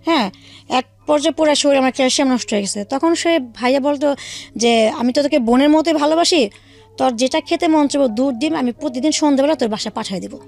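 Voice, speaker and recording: High-pitched, quick-talking Bangladeshi woman. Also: creaky, a little mellow, soft background music. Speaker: guest telling own life story. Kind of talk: personal storytelling in an interview.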